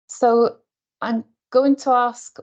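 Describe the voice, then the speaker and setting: A woman's voice: on the high side, little pitch movement, slow pace, darkish tone, dull audio. A woman lecturer speaking earnestly on a video call, with frequent fillers. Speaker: programme lecturer. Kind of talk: online open day presentation.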